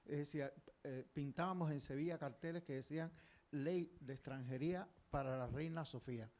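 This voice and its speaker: Earnest older man, higher voice. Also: moderate pace, darkish tone. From Cuba. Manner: earnest, discursive, many fillers and restarts. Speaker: audience member, historian. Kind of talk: public panel discussion.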